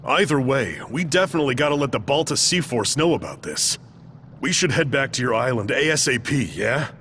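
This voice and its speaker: An actor playing a casual, eager young companion in a video game, with an informal manner. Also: male voice, medium pitch, animated, fairly quick, a bit bright, a little hiss or hum, natural voice. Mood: casual, urgent. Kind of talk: scripted video game acting.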